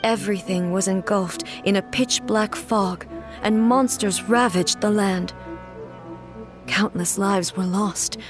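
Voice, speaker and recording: A woman playing a solemn, regal immortal ruler in a video game, speaking in a formal, measured and grave way. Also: mid-range voice, natural voice. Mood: grave, somber. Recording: music behind the voice.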